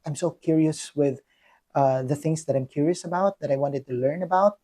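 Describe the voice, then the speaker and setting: A man's voice: fairly high pitch, moderate pace, dark tone. A young adult man from the Philippines with a Filipino accent, talking earnestly in a personal reflection vlog, full of ums and restarts. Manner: many fillers and restarts, earnest. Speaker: vlogger.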